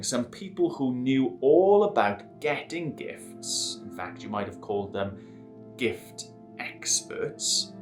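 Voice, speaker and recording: Playful man reading to kids. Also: medium pitch. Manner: playful, lively storyteller. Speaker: host and story reader. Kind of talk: children's storytelling.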